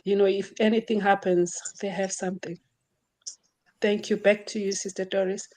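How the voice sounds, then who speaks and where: A woman from Zimbabwe introduces herself in a steady, even way. Her pitch stays flat across her sentences, and she speaks over a video call. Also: moderate pace. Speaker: guest on live stream.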